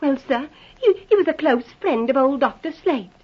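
High-voiced, earnest young woman. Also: expressive, moderate pace. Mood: earnest, insistent. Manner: deferential, earnest. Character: earnest, respectful young working-class woman, grieving. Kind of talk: old-time radio drama acting. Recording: muffled old 1940s radio recording.

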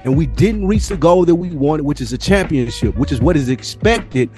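Male podcast co-host, higher-pitched, slangy and emphatic, arguing sports over music. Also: lively intonation, normal speed, dark tone. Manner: emphatic, argumentative, repeats phrases for stress; slangy, profane. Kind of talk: casual heated sports debate.

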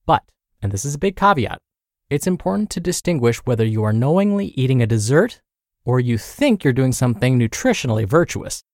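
A man narrating a health podcast, reading a blog post aloud as host with clearly phrased, presenter-like delivery. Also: mid-range voice, big pitch swings, brisk, dry recording, studio quality.

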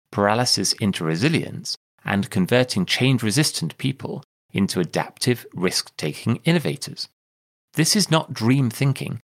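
A man hosting a podcast and coaching clients, reading a scripted introduction in a measured way before interviewing a guest. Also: lowish voice.

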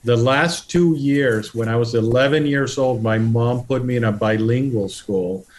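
Middle-aged guest, mid-pitched, earnest storyteller with restarts. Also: male voice, unhurried. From Puerto Rico. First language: Spanish. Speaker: guest, political candidate. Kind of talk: conversational interview.

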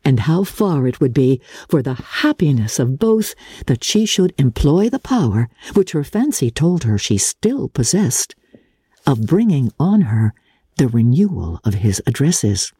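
Husky, low-voiced woman reading with expressive swoops. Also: no echo, studio quality. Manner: measured, expressive, formal storytelling delivery. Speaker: audiobook narrator. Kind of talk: dramatic reading of classic literature.

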